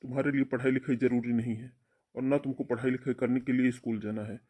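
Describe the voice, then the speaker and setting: A man's voice: mid-range voice, flat delivery, quick pace, warm tone, slightly rough, lightly acted. A man playing a stern, domineering older brother in a cartoon family melodrama, scolding and giving orders. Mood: angry, commanding. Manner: scolding, commanding.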